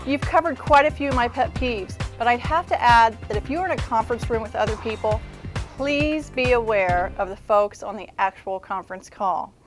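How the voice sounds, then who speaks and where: A woman's voice: fairly high pitch, normal speed, soft background music. A woman presenting as a show correspondent, answering viewer questions in a polite, instructive, scripted delivery.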